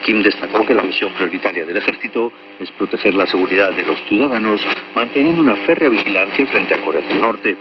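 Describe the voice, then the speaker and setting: A male radio announcer with a fairly low voice reads scripted news formally and with a measured delivery. He comes through a shortwave broadcast, distant from the listener, with clearly audible noise over his words. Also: expressive, dull audio.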